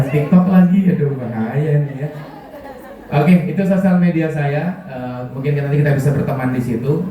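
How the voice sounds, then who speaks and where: A man's voice: medium pitch, dark tone, distant mic. A man with a Javanese accent giving a joking religious parenting talk to parents, often quizzing them with questions. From Kulon Progo, Yogyakarta, Indonesia. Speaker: parenting speaker. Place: school hall. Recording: very echoey hall, voice from afar.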